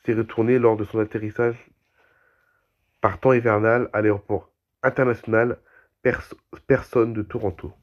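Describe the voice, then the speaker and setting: A man with a cold reads aviation news aloud, clearing his throat and correcting himself as he goes. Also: on the low side, little pitch movement, moderate pace, long pauses, dull audio. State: has a cold, coughing. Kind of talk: reading aviation news with commentary.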